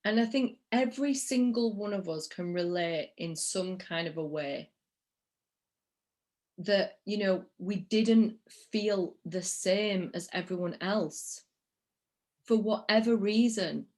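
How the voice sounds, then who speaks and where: A woman's voice: mid-range voice, takes it slowly, darkish tone. Effusive woman with a Yorkshire accent. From England, Yorkshire. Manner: effusive, repeats words for emphasis. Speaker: podcast host. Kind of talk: casual interview chat.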